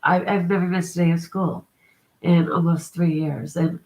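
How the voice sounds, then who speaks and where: A woman's voice: fairly low pitch, fairly even intonation, moderate pace, a little mellow, poor audio. A congested, middle-aged woman with a cold, chatting casually and upbeat on a live stream, laughing between remarks. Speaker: vlogger.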